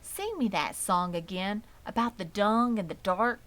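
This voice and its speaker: A woman's voice: medium pitch, a little slow, a bit bright, close to an everyday voice. A woman voicing an innocent young male pig in a storybook, anxious and childlike, asking in a pleading way.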